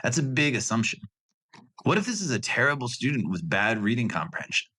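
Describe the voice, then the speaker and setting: A man's voice: medium pitch, sing-song, a little fast, sharp tone, husky. A man giving a recorded class project presentation in a conversational way, with dry asides. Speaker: graduate student presenter.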